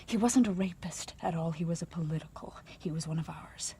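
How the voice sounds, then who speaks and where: An actress playing a tough, secretive woman of the resistance, speaking in urgent, clipped, hushed audio-drama dialogue. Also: on the low side, a little fast, a little hiss or hum, lightly acted.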